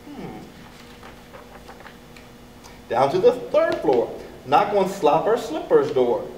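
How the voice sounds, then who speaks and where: A middle-aged man reading a children's storybook aloud in an expressive, deliberate manner. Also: fairly high pitch. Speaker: elementary school staff member reading to children. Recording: echoey, distant mic with background noise.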